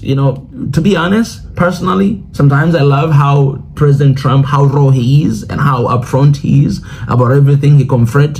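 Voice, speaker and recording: A man's voice: a little high, a little mellow, a little hiss or hum. A man from Tanzania, a Swahili speaker, hosting a reaction video in a loose, rambling way and often asking "you know what I'm saying". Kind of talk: casual commentary reacting to news clips.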